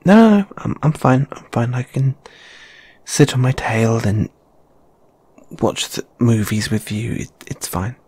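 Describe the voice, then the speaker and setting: A British man voicing a shy, flustered lamia flatmate in an intimate audio roleplay, with stammering, hesitant, sighing delivery. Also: medium pitch, measured pace, slightly rough, dry recording, full character voice. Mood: nervous, defensive.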